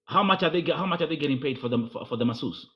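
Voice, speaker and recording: Brief: man from Kenya, pushy host who talks over his guest, rapid delivery, muffled lo-fi sound. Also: a little high, lively intonation. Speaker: interviewer. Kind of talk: confrontational interview.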